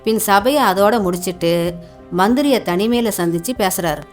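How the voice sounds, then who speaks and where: A woman's voice: medium pitch, moderate pace, soft background music. A woman narrating a cartoon folktale in a plain, colloquial storytelling way.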